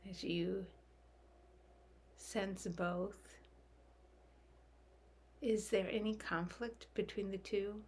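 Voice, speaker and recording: A woman leading a guided meditation in a gentle, soft, unhurried way, leaving long calming pauses. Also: medium pitch, slightly rough.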